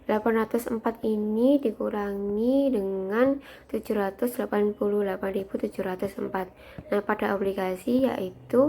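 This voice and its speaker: A woman's voice: medium pitch, a little mellow. A young woman reading out worked solutions as a student presenter. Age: young adult. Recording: noticeable background noise.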